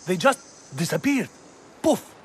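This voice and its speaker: An actor playing a drunk, forgetful slave owner who rambles evasively in a video game cutscene, sounding woozy. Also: male voice, high voice, big pitch swings, slow pace, slightly rough, heavily acted. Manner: rambling, evasive, theatrical exclamations. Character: drunk, forgetful, boastful-whining male slave owner in historical Iraq.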